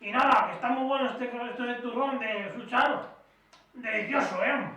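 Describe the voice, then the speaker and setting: A man's voice: very high-pitched, unhurried, bright, forceful tone. A man rambling and repeating himself through an improvised holiday greeting and product tasting. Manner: rambling, repetitive, tag questions. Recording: very echoey, distant mic, slight noise.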